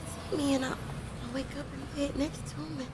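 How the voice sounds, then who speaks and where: A woman's voice: high-pitched, fairly even intonation, fast, natural voice. A woman acting a distraught, stubborn, frightened woman in an audio horror drama, stammering and repeating herself. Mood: tearful, muttering. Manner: stammering, repetitive, swinging between shouts and whispers. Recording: clearly noisy background.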